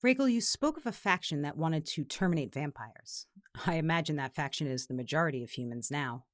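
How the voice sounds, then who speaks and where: A woman playing a pragmatic vampire council elder in an audio drama, measured and formal. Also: sits low, animated, no room sound, clean studio sound. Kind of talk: dramatic audio fiction acting.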